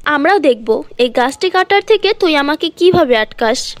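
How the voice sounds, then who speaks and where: A practical, scornful village aunt acted by a woman scolds in a very high voice. Her delivery is dismissive, in a dry cartoon fairy-tale recording. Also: animated, moderate pace, dry recording. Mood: indignant, scolding.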